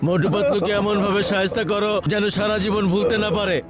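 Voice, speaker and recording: A man acts out a vengeful, panicky cartoon boss. His voice is high and hurried, with loud music behind. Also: level tone, close to an everyday voice. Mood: furious. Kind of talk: Bengali cartoon dub.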